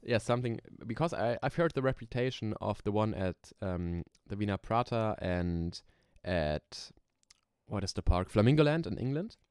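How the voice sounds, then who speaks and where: A man's voice: lowish voice, expressive, normal speed, a little mellow, clear, resonant tone, no room sound, studio quality. A young German man with a German accent, streaming casually while he builds roller coasters and thinks aloud with many ums and small laughs. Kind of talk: casual live stream chat. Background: keyboard typing.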